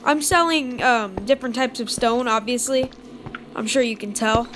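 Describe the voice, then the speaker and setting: A young woman with a fairly high voice, chatting casually as she walks through a game world. Her talk is upbeat and meandering, and a steady hiss sits under the recording. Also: normal speed. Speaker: gaming channel host. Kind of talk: casual gameplay commentary.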